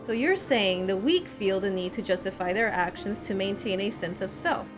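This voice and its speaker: A woman's voice: medium pitch, normal speed, music in the background, close to an everyday voice. A woman voicing a wry, probing mentor from a game, colloquial, questioning and sardonic. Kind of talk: reading game cutscene dialogue aloud.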